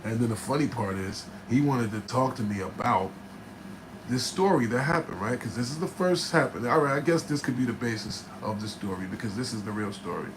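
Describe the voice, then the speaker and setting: A man from New Jersey, a rapper, telling a studio story in casual, profane style with a raspy voice, often asking "right?". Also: mid-range voice, brisk. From New Jersey, USA. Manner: animated, profane, keeps checking in with "right?". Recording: noticeable background noise.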